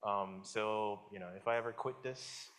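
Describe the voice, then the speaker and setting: A man in his forties from the Caribbean with a slight Caribbean accent, giving a relaxed public science lecture and talking with the audience, with many fillers and restarts. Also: on the low side, normal speed. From Guyana, raised in Jamaica. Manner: casual, friendly, asks audience questions, many fillers and restarts. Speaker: guest lecturer, professor.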